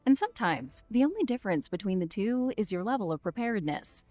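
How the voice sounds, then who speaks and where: A woman's voice: mid-range voice, a little mellow, dry recording. A woman reading a polished, upbeat scripted advertisement. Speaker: ad announcer. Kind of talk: scripted ad read.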